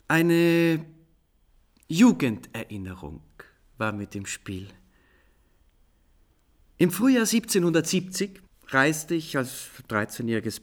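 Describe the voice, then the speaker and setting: A man's voice: medium pitch, a bit bright. An actor playing a cheerful, self-mocking composer from the eighteenth century, telling his tale in a florid, courteous way in a German radio play.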